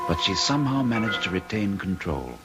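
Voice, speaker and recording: Commanding gentleman in an old radio mystery, melodramatic, voice swings a lot, music under it. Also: on the low side, big pitch swings, a little fast, vocal fry. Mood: grave narration. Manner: formal, theatrical, stern. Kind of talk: old-time radio drama acting.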